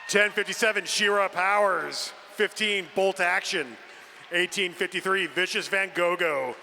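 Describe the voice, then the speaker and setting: A man announcing a team roster over a PA in measured, drawn-out phrases. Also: sits high, gravelly, pushed and bright. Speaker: arena announcer. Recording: reverberant hall PA with some noise.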